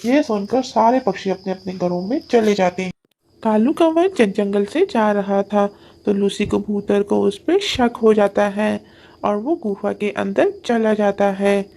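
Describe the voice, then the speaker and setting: A woman's voice: mid-range voice, measured pace, vocal fry, dark tone. A woman reading a scripted children's story as its narrator. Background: music.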